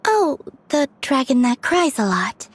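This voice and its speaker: A woman's voice: sits high, sing-song, measured pace, a little hiss or hum. A woman voicing a serene young female game character who speaks in a candid, naively direct way. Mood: innocently blunt. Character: serene, innocently blunt young female former villain. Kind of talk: video game voice acting.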